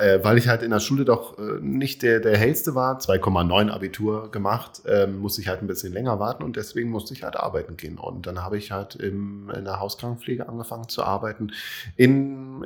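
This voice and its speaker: A man in his late thirties from Berlin, a politician chatting casually in an interview, self-deprecating and full of fillers. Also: lowish voice. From Berlin, Germany. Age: 30s. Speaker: politician guest.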